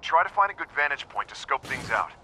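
Careful, serious game lawman, very forceful bright tone, medium pitch, faint noise. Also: very animated, brisk, rough recording. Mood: businesslike. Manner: serious, instructing. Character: cautious, concerned, level-headed male lawman. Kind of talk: video game acting.

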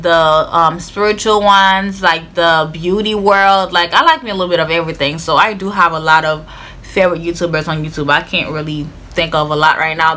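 Bright-voiced Haitian woman chatting to camera. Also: lowish voice. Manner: chatty, earnest, many "like" fillers. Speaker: vlogger. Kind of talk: casual chat to camera. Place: bedroom, indoors.

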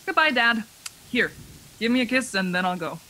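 A woman's voice: a little high, animated, normal speed, sharp tone, a little hiss or hum. An actress playing a frightened young traveler who insists she is sane, in a suspense radio play, hesitating and repeating words. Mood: reassuring.

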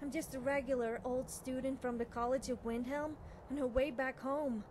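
A woman's voice: sits high, steady tone, moderate pace, noisy background, lightly acted. A young woman playing an eager, nervous, cheerfully amoral witch student in video game dialogue, chatty and girlish, often hesitating and backtracking. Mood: defensive, uneasy.

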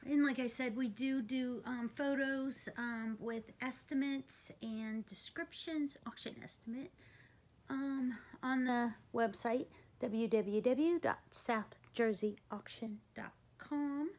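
A woman's voice: a little high, slow, a little mellow. A woman presenting for an auction house, narrating an informal, unscripted walk-through video on a handheld phone inside a large warehouse. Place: large furniture warehouse, indoors. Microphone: handheld phone camera, speaker behind it.

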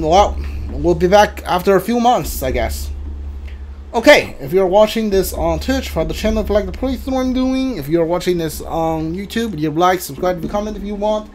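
Guy streaming a game, kinda high voice, halting casual chat, repeats himself a lot. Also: darkish tone, slight background noise.

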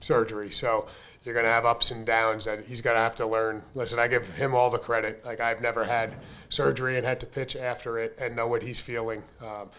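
A man's voice: mid-range voice, fairly quick. Man coaching, candid postgame talk. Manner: candid, conversational, frequent fillers, energetic emphasis. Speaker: head baseball coach. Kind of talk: postgame press conference. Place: press room in front of a sponsor backdrop. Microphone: desk mic on a stand.

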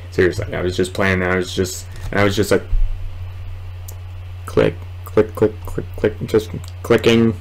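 Low-pitched young man, rambling gamer. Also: steady tone, measured pace, vocal fry, long pauses, poor audio. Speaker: gaming video host. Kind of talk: casual let's play commentary. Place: small room at home, indoors.

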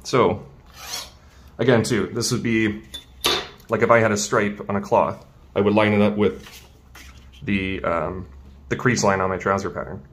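A man's voice: on the low side, steady tone, measured pace, pauses often, a little hiss or hum. A man teaching as a tailoring instructor in a casual hands-on demonstration, informal and thinking aloud as he works. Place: indoors at a work table.

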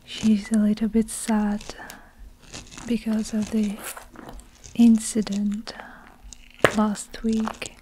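Very slow, whispering young woman. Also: mid-range voice, completely flat delivery, a little hiss or hum, poor audio. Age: young adult. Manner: slow, hushed, deliberate, with long pauses. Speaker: ASMR performer narrating a story. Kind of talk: whispered ASMR story narration.

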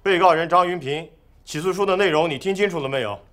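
An actor playing a stern male presiding judge in a film, speaking in a formal, authoritative and deliberate way. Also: a little high, a bit bright, faint music behind, natural voice. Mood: official. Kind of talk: formal courtroom procedure in a film.

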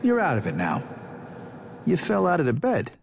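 Coaxing, lively-toned asylum orderly. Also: male voice, fairly high pitch, normal speed, vocal fry, a little hiss or hum, full character voice. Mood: soothing, coaxing. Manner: coaxing, placating. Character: soothing, patronizing male asylum orderly. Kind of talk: naturalistic video game acting.

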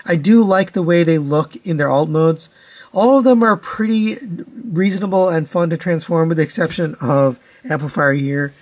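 A man with a slightly high voice gives casual review commentary. He works through things methodically, in a matter-of-fact, demonstrating way, as a toy reviewer would. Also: moderate pace, darkish tone.

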